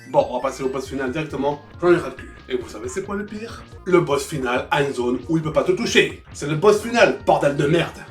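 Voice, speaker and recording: A man's voice: fairly high pitch, animated, fairly quick, few pauses. Sarcastic guy reviewing retro games, swears a lot, roomy echo, bit of music behind. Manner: sarcastic, foul-mouthed. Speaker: host of a retro video game review show. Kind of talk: humorous scripted review narration.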